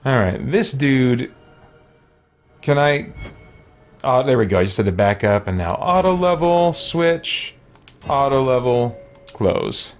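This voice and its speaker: A man's voice: mid-range voice, lively intonation, slow, long pauses, faint music behind. A man giving casual let's play commentary, thinking aloud and musing to himself as he goes. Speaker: gaming video host.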